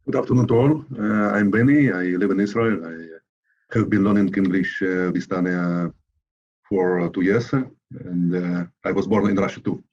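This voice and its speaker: Deep husky man on dull call audio. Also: fairly even intonation, a little mellow. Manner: slow, careful, hesitant. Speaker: English learner. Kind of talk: short self-introduction. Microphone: video call.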